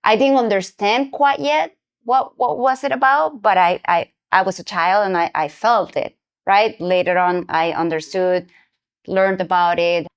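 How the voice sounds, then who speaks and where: A woman's voice: medium pitch, fairly even intonation, unhurried. A woman from Venezuela hosting a podcast interview, telling a personal story in a reflective, conversational way. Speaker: podcast host.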